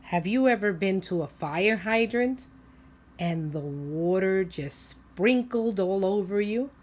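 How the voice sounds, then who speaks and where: A woman preschool teacher reading a picture book aloud to young children, explaining simply, asking questions and drawing out key words. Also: medium pitch.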